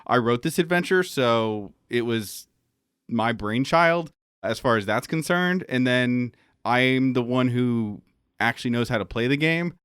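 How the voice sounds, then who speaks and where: A man's voice: mid-range voice, animated, measured pace, a bit bright, clean tone, dry recording, studio quality. A man talking casually on a panel as a game master and writer, telling stories with asides in a self-deprecating way.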